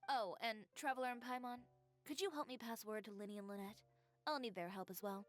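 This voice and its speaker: An actress playing a proud female fashion designer who speaks in a calm, composed, dryly sardonic way. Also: a little high, brisk, soft background music, close to an everyday voice. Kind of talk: game voice acting.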